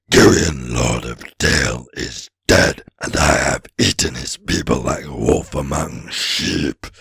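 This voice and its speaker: Wicked old dragon, raspy breathy growl, forceful and tense, storybook villain, gloating drawl. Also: male voice, very deep voice, dry recording, studio quality, voice pushed down, full character voice. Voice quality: gravelly, raspy, menacing. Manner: slow, gloating, sneering drawl. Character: ancient huge boastful male dragon, wicked and wily. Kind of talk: audiobook character voice.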